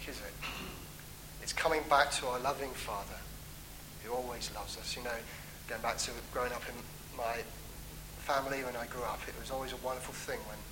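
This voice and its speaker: A man preaching a sermon in an earnest, pleading way, his voice lifting for emphasis and dropping soft at the ends of phrases. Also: medium pitch, background noise. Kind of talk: sermon on a psalm.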